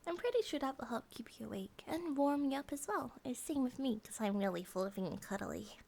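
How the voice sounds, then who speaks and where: A woman voicing a gentle, affectionate monster-girl bear wife in a soft ASMR comfort roleplay, often dropping to a whisper. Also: sits high, expressive, fairly quick, vocal fry, slight background noise. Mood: cuddly, affectionate.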